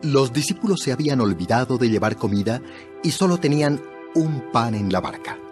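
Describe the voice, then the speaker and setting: A man's voice: mid-range voice, expressive, brisk, a little mellow. A man narrating a dramatized audio Bible in a scripted storytelling style. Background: background music.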